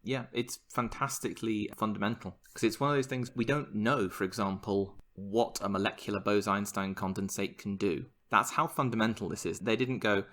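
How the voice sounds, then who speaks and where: Enthusiastic low-voiced male podcast host. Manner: enthusiastic, animated explainer. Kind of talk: casual science chat.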